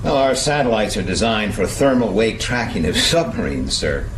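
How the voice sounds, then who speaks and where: An actor playing a matter-of-fact military captain in a radio drama, clipped, formal and deferential. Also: male voice, on the low side, lively intonation, normal speed, roomy sound, background noise, close to an everyday voice. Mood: formal, obliging.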